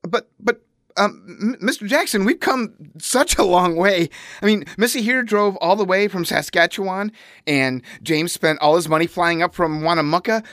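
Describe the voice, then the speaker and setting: A woman's voice: lowish voice, normal speed, dry recording, studio quality, close to an everyday voice. A woman playing an eager, gushing teenage fan club leader in a comedy audio drama. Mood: pleading. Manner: gushing, earnest, excitable.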